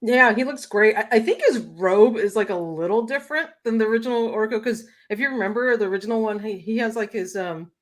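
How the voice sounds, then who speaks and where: A woman co-hosting a casual toy-collecting livestream, chatty and quick to laugh, with plenty of fillers. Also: on the high side. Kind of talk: casual chat.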